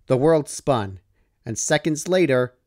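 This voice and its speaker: A man narrates a story from a script. His voice is medium in pitch and moves with very lively, expressive intonation. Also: measured pace, noisy background. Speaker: fan fiction narrator.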